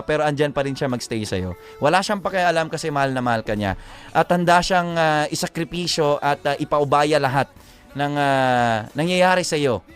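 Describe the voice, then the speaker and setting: Higher-pitched Filipino man over background music. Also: clear, resonant tone. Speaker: radio show co-host giving advice to letter senders. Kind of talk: casual live chat and love advice.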